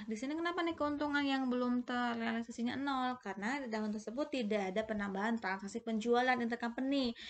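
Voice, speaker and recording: High-voiced woman tutor with creaky edge. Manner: explanatory, with rhetorical questions and conversational tags. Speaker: accounting tutor presenting a video lesson. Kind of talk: informal step-by-step tutorial explanation.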